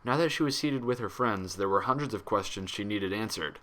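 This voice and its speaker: A man's voice: lowish voice, fairly quick, fairly bright tone. A man reading a scripted story aloud as its narrator. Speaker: fan fiction reader.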